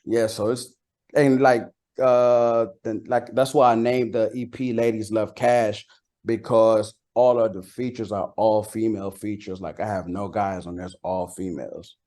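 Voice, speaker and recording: Guy rapper getting interviewed, kinda low voice, slangy n halting, just chatting. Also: fairly even intonation, dark tone. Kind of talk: casual chat.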